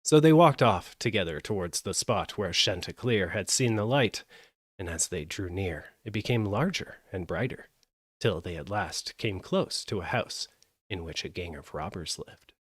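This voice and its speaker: A man narrating a fairytale as a live audiobook, with an expressive, measured delivery. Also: low-pitched, breathy edge, no echo, clean studio sound. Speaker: storyteller.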